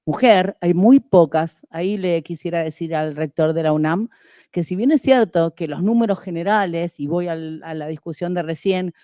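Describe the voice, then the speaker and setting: A woman's voice: fairly low pitch, dark tone, no echo, studio quality. A woman from Argentina speaking fluently and formally as a university rector on a panel. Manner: articulate, fluent and measured. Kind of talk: formal academic panel talk.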